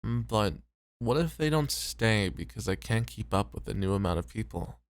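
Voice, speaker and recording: A man's voice: on the low side, animated, normal speed, no room sound, lightly acted. A man playing a weary, self-doubting club host in an ASMR roleplay, with a hesitant, understated delivery. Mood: worried, resigned.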